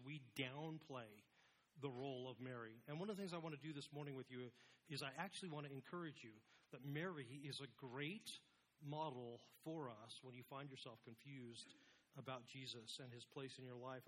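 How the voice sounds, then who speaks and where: A man's voice: medium pitch, a little fast, a bit bright, a little hiss or hum. A man preaching an informal, conversational sermon as a pastor, with a casual, self-deprecating manner.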